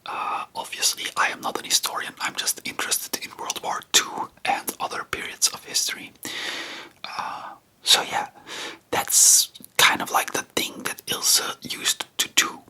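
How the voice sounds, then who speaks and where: A person whispering in an informal, rambling ASMR style, breathy and unvoiced, chatting about history close to the microphone. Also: a little slow, bright, forceful tone. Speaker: host of a history ASMR channel.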